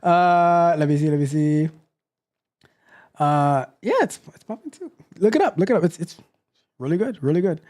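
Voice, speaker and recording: A man in his thirties hosting a casual livestream from a home studio on a close boom mic, rambling, repeating himself and joking. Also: expressive, normal speed, pauses often, a little mellow. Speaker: host, tech coach. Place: home studio, seated in gaming chair. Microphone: boom mic close to mouth.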